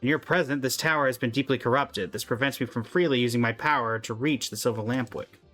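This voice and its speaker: A man reading aloud the lines of an ancient, gentle, regretful woman guardian in a video game, in a calm, measured way. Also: medium pitch, soft background music, lightly acted. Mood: solemn.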